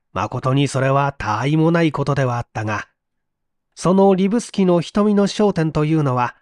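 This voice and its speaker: A man reading a literary mystery story aloud in an expressive, theatrical storytelling manner. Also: fairly high pitch, dry recording, clean studio sound. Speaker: reader of audio stories.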